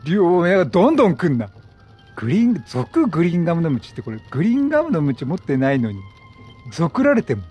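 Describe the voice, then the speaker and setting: Animated higher-voiced gamer man, husky edge. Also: a little fast. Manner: animated, talkative, exclaims and talks back to the game. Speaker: gaming YouTuber. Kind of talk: chatty video game let's play commentary. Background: game music.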